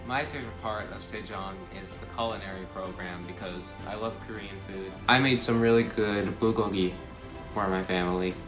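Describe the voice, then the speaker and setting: A man's voice: fairly low pitch, fairly even intonation, normal speed, over music. A teenage male, giving a casual testimonial as a camper.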